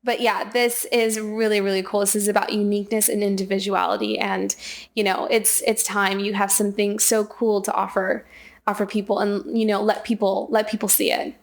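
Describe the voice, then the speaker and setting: A woman doing a casual spiritual oracle card reading, talking in a soft, rambling way while losing her voice. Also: medium pitch, little pitch movement, moderate pace, gravelly. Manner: soft, rambling, repeats and restarts phrases. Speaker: tarot and oracle card reader.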